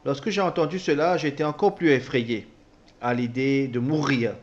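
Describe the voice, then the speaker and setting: A man interpreting a personal testimony, speaking haltingly with repeated words and self-corrections. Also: medium pitch, fairly even intonation, dull audio. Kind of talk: spoken translation of a personal testimony.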